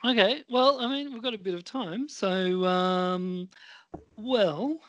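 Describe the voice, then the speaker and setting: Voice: medium pitch, slow pace, dull audio. A podcast co-host chatting casually in a hesitant, self-deprecating way. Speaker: co-host and script writer. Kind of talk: casual chat.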